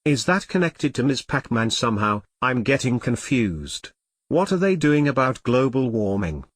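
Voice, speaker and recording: Text-to-speech man as a flustered male computer-elephant, theatrical complainer, medium pitch, very dry sound, audio comedy. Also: sing-song, moderate pace, no room sound. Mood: flustered, indignant. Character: melodramatic, easily flustered male Commodore 64 computer turned elephant. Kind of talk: scripted audio comedy drama.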